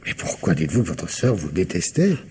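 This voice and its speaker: Low-voiced, probing police commissioner. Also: male voice, sing-song, fairly quick, a little mellow. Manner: insistent, hesitant feints while questioning. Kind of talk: French radio mystery drama acting. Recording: noisy old radio drama recording.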